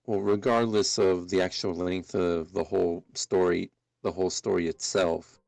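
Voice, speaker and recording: Gravelly low-voiced man, dry close sound. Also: flat delivery, moderate pace, darkish tone, dry recording. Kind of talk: casual commentary.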